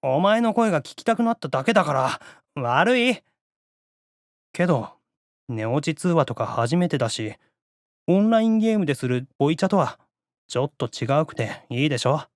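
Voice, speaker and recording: A man's voice: on the high side, big pitch swings, normal speed, dry recording, clean studio sound. A man voicing a shy young male otaku from an anime game, stammering defensively through a bashful late-night call. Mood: bashful, flustered. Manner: stammering, defensive tsundere confession.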